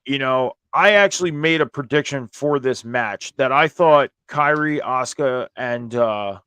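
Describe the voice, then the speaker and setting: Hoarse male host, mid-pitched, dry close sound, informal recap commentary, casual wording. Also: measured pace, bright, forceful tone, dry recording. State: hoarse after a night of screaming at a concert. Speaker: host of a wrestling review show.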